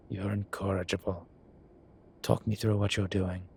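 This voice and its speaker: Male actor as a cheeky incubus demon, lowish voice, very slow teasing delivery, suggestive pauses, audio roleplay. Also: husky, heavily acted. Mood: amused teasing. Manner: teasing, suggestive, drawn-out pauses, sighs and chuckles. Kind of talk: audio roleplay acting, one-sided conversation.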